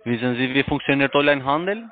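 A man with a foreign accent in non-native German making a polite, halting cold call as a call centre adviser. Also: medium pitch, expressive. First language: not German. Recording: tinny, narrow phone line.

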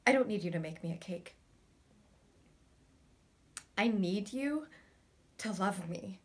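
A woman's voice: a little high, slow pace, fairly bright tone. An actress playing a torn, lovesick woman in an intimate theatrical monologue, earnest, hesitant and vulnerable. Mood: pleading. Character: torn, lovesick woman, scared yet tender and confessional.

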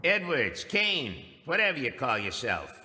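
Sharp, brusque policeman. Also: medium pitch, wildly swooping pitch, unhurried, in a large hall, soft background music, full character voice. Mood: scornful. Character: hard, scornful police officer guarding a prisoner. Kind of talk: radio drama acting.

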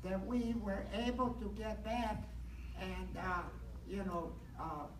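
Older male, devout churchgoer telling his testimony haltingly, distant pickup with clear background noise. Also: high-pitched. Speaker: church member giving testimony. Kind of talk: personal testimony storytelling. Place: large church sanctuary. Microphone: handheld mic, picked up by distant camera. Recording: distant, echoey, noisy church hall recording.

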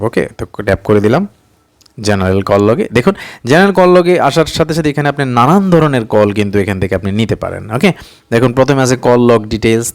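A man narrates an informal phone app tutorial step by step, instructing repetitively, prompting with rhetorical questions and mixing in English words. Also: on the low side. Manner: mixes English words into speech. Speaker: tutorial presenter.

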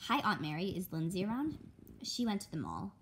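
Woman reading a story aloud, lively pitch. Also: brisk, creaky, slight background noise. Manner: animated, acts out characters' dialogue. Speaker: reader reading a comic book aloud. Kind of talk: expressive read-aloud storytelling with sound words spoken out.

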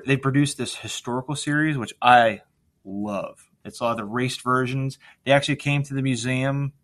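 A man's voice: mid-range voice, fairly bright tone. A man co-hosting a podcast, chatting casually and enthusiastically, with slangy exclamations as he asks questions. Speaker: podcast co-host. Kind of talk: casual interview chat.

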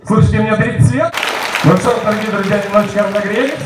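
A man warming up a comedy crowd, repeating the same catchphrase again and again. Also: high voice, quick pace, very noisy. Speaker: opening comedian. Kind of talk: stand-up warm-up set. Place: theater stage. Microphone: handheld mic, filmed from far. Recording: echoey room, distant mic.

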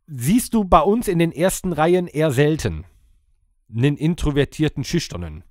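A man's voice: a little high, lively intonation, normal speed, dry recording, studio quality. A talkative, jokey man chatting casually as a podcast host among bandmates.

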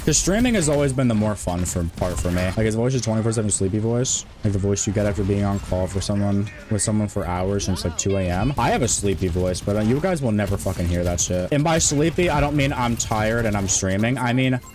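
Low-voiced, monotone male streamer. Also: fast talker, few pauses. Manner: monotone, rambling, many restarts. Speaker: game streamer. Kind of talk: casual stream chat.

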